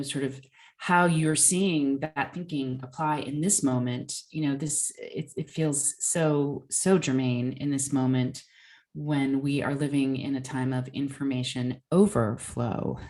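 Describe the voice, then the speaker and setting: Woman interviewer, super low voice, warm, lots of "you know". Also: steady tone, a little slow. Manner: warm, appreciative, with many "you know" fillers. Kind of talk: interview questions.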